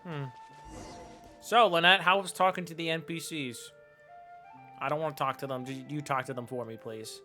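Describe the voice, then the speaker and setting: A man's voice: a little high, fairly even intonation, normal speed, long pauses, bright, forceful tone, soft background music. A man live streaming a video game with casual, flippant, wisecracking commentary.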